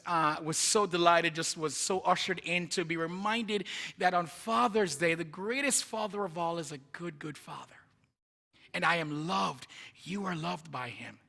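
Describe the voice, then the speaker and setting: A man's voice: sits high, sharp tone. A man from Jamaica with a Jamaican accent, preaching as a guest in a church in a chatty, rambling, self-joking way while moving around. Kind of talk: informal sermon. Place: church sanctuary.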